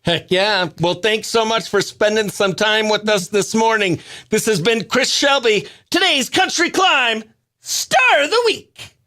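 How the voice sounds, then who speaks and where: An American man hosting a morning radio show, chatting in an upbeat, effusive way with greetings and shout-outs. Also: sits high, lively intonation, unhurried, dry recording, studio quality. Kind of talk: chatty country radio show and livestream banter.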